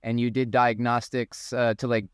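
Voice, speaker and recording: A man's voice: medium pitch, flat delivery, moderate pace, smooth, dry recording, clean studio sound. A man hosting a podcast, asking curious, easygoing questions with lots of fillers. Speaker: podcast host. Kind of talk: conversational interview.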